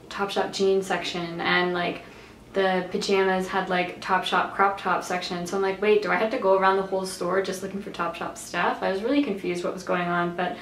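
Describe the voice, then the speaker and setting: A young woman from Canada chatting casually about clothes she tried on, slightly nasal and raspy from allergies, rambling with lots of fillers. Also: mid-range voice, a bit bright, reverberant, a little hiss or hum, low-quality recording. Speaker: fashion vlogger. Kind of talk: casual try-on haul chat. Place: bright bedroom.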